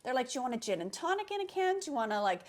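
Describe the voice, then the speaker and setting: Woman co-host in casual, animated chat. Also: high-pitched, rapid-fire. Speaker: podcast co-host.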